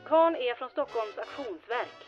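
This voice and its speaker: A woman's voice: fairly high pitch, lively intonation, close to an everyday voice. Bit muffled, faint music, lady as sassy town hall secretary in a radio play, teasing n sardonic. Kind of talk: radio drama acting.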